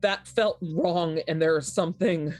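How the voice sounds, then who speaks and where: A woman playing a distraught, frightened young woman in a horror game, halting and repetitive as she pleads. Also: on the low side, a little mellow, soft background music, natural voice. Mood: frightened, pleading.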